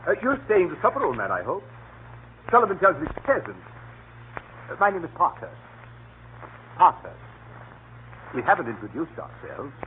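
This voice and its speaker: A hearty, scatterbrained gentleman, high-pitched and bouncing up and down in tone. He blusters through a tinny old radio play. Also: huge pitch swings, moderate pace, pauses often. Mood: jovial, flustered. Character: jovial, scatterbrained male upper-class country gentleman. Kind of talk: 1930s radio acting. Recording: tinny, lightly crackling 1930s radio broadcast.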